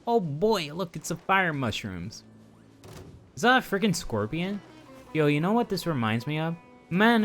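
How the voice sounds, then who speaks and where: A man doing casual, rambling live commentary on a video game, playfully chatting to his viewers, with game music behind him. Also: medium pitch. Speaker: game streamer.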